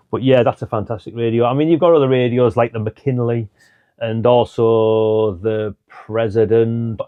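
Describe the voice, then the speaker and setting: A man's voice: medium pitch, no room sound, phone-quality sound. A middle-aged British man giving a casual, chatty product rundown, thinking aloud in an informal way. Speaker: hobbyist product reviewer.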